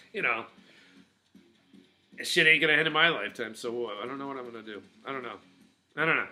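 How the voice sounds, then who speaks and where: Bright-voiced rambling middle-aged man. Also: mid-range voice. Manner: rambling, loose, with filler words and restarts. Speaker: vlogger. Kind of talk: casual rambling monologue to viewers.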